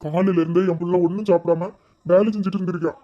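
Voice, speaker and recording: A mid-pitched voice speaks very quickly as a gentle father, played by a performer in a home-made skit. he soothes and reasons in speech, rushing through each plea without pause. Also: fairly even intonation, muffled recording, lightly acted. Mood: reproaching. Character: gentle caring father, adult man.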